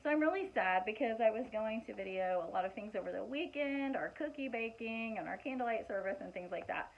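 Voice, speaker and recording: Hoarse woman in her forties, gravelly and worn, rambling vlog chat on a muffled, lo-fi recording. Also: a little high, animated, a little fast. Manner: chatty, rambling, many fillers and restarts. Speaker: vlogger showing gift ideas. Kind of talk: casual show-and-tell vlog.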